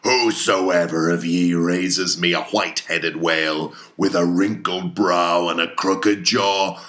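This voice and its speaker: A fierce old captain of a whaling ship. The voice is very low and hard-edged, declaiming in slow, obsessive bursts like orders across a deck in a sea story. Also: male voice, full character voice. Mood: exhorting. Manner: declamatory, slow emphatic shouting.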